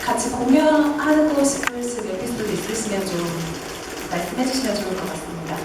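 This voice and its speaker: A woman's voice: a little high, brisk, music in the background. A woman moderating a press call in a formal, polite register as the host. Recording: very echoey, distant, noisy.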